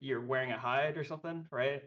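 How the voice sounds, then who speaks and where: A man's voice: mid-range voice, steady tone, fairly quick, lo-fi sound. A man chatting and joking casually as a guest on a podcast.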